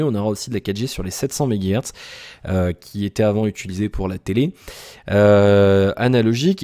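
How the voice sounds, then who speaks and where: A tired young man rambles through his daily video update, full of fillers, sighs and casual slang. His voice has a mellow, darker tone at an ordinary pitch. He talks loosely, as if just thinking aloud. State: tired, yawning. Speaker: YouTuber giving daily tech news and channel update. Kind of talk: casual vlog chat.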